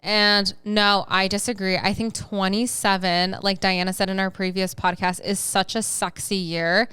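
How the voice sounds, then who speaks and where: A woman in her twenties co-hosting a casual podcast, chatty and animated, laughing easily as she talks. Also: medium pitch, moderate pace, smooth, no room sound. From United States. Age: 20s. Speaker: podcast co-host.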